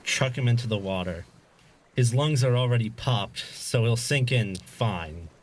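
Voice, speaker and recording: A man's voice: mid-range voice, measured pace, lightly acted. Audio drama villain, male actor: cold gunman hijacker, barks clipped orders with menace, mid-range voice, slight background noise. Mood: cold, menacing. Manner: curt, commanding, threatening.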